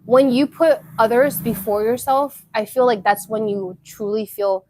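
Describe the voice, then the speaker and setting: A woman's voice: a little high, a little slow, a little mellow, a little hiss or hum. A young woman from Thailand chatting casually on a live stream, answering her viewers' comments and often repeating herself. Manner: chatty, repeats words, many fillers. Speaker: YouTuber reading viewer chat.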